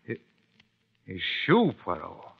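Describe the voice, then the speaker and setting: An actor playing a gentlemanly, easily shocked detective's sidekick in an old-time radio mystery, polite and a little hesitant. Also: male voice, on the low side, wildly swooping pitch, takes it slowly, creaky, breathy edge, exaggerated voice. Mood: astonished. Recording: dull, hissy old radio recording.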